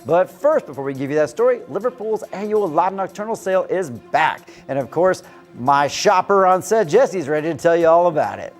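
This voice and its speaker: A man's voice: fairly high pitch, animated, moderate pace, faint music behind. A man anchoring a live local news show, bantering with colleagues and moving on to the next story.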